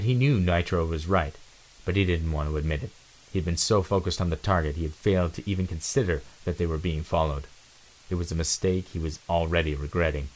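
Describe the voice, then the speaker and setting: A man's voice: low-pitched, poor audio. A man giving a dramatic scripted reading of an adventure storybook as narrator.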